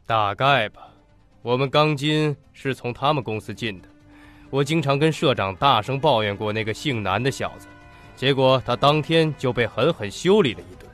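An actor playing a curt, commanding, crooked company president with a sharp tongue in an audio drama. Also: male voice, mid-range voice, lively intonation, moderate pace, soft background music, natural voice. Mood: scornful. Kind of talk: Chinese audio drama acting.

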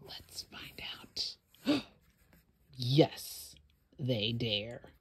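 Slow, theatrical woman reading to children. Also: extremely low pitch, big pitch swings, fairly bright tone, breathy edge. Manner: suspenseful, theatrical, with whispers and gasps. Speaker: children's storytime host. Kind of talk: dramatic children's read-aloud.

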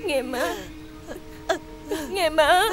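An actress playing a devoted, emotional grown daughter who pleads tearfully. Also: female voice, sits high, lively intonation, normal speed. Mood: pleading. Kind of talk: stage drama acting. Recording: music behind the voice.

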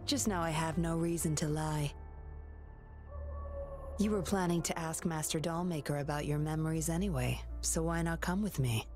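A woman's voice: low-pitched, normal speed, music in the background, natural voice. An actress voicing a cool, dryly mocking young woman who escorts a captive in a game. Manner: dry, mocking.

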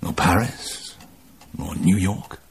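An actor playing a restless adventurer and pilot in a radio drama, understated, laconic and gently evasive. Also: male voice, deep voice, slow, gravelly, slight background noise, full character voice. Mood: resigned.

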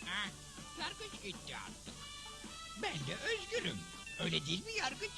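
A woman voicing an excitable, jumpy sidekick in a western cartoon dub, given to exclaiming and repeating words. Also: mid-range voice, a little slow, gravelly, music in the background, exaggerated voice. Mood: carefree.

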